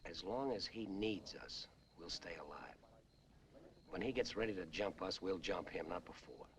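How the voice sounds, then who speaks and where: Low-voiced, level-headed hostage. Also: male voice, expressive, normal speed, a bit bright. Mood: guarded, urgent. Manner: terse, reasoning. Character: cautious, level-headed male hostage, tense. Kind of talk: 1950s crime film acting. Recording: noisy old film soundtrack, engine drone.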